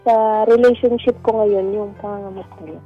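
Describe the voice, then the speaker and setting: A woman's voice: mid-range voice, steady tone, moderate pace, husky. A Filipino woman calling a radio advice show, telling her personal story conversationally in a polite, deferential way. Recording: dull lo-fi call audio over music.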